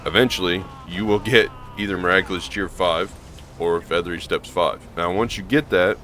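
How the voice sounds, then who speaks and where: A hoarse man with a gravelly voice, rambling casually through gameplay commentary with lots of ums and restarts. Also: low-pitched, fairly even intonation, moderate pace, bright, forceful tone. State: hoarse from allergies. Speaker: gaming channel host.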